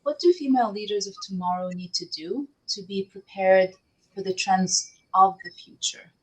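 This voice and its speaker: A woman's voice: medium pitch, normal speed, husky, poor audio. A woman from Egypt moderating a panel, speaking with frequent restarts and repeated words. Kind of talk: panel discussion.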